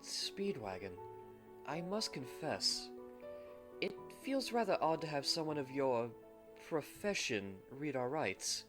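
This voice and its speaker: A man voicing a tender, courteous young gentleman groom who speaks formally in an anime fan ASMR roleplay. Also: on the high side, big pitch swings, slow pace, faint music behind, pitched above the performer's own voice, exaggerated voice. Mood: polite, earnest. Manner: formal, romantic, courteous speech.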